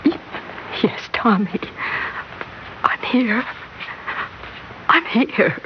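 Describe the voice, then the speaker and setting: High-voiced, anxious mother. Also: expressive, drawn-out words. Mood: distraught. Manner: breathless, stammering, melodramatic. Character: anxious, guilt-ridden mother hiding a secret. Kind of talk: 1960s radio drama acting. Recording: dull, hissy old radio recording.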